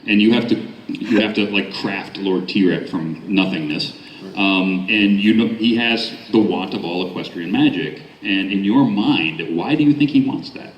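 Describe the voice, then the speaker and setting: Echoey low-voiced man moderating a panel. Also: moderate pace. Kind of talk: casual interviewing at a fan convention panel. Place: convention panel room. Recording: echoey convention room, distant mic, faint noise.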